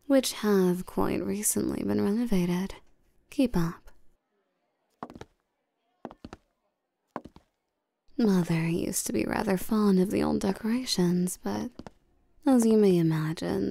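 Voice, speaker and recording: Creaky voice, woman acting as regal vampire queen, unhurried, coaxing, domineering, softly menacing, intimate audio drama. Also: medium pitch, slight background noise. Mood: poised. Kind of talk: scripted roleplay audio drama, addressing the listener.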